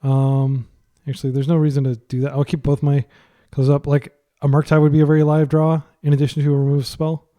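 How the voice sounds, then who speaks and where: A man's voice: on the high side, flat delivery, a little fast, hardly any pauses, warm tone. A young man giving casual, thinking-aloud commentary on a card game he is playing. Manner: chatty, thinking aloud with fillers. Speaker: gaming commentator.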